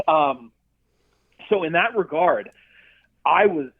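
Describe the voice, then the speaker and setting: A man who directs films, a guest telling stories in a casual interview, animated and swearing freely. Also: a little high, slow, husky, no room sound. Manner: animated, profane storyteller. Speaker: film director and effects artist, guest. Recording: dry tinny phone-like line.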